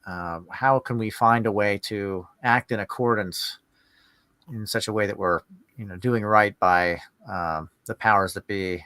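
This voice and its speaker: A man hosting a podcast interview, speaking casually and haltingly as he feels his way through his questions. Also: fairly low pitch, pushed and bright, clean tone, dry recording. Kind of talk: casual, hesitant interview chat.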